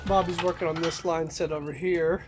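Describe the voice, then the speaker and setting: A man's voice: fairly high pitch, little pitch movement, normal speed, over music. A man with a stuffy head cold, casually walking viewers around his house build outdoors, easygoing and rambling. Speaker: homeowner vlogger. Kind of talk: casual site walkthrough.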